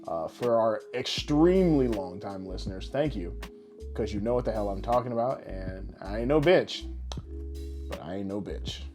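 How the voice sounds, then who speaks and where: A man's voice: lowish voice, lively intonation, moderate pace, darkish tone, faint music behind. A man co-hosting a podcast with casual, jokey, rambling showman patter. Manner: boisterous, rambling, tongue-in-cheek showman patter. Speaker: podcast co-host.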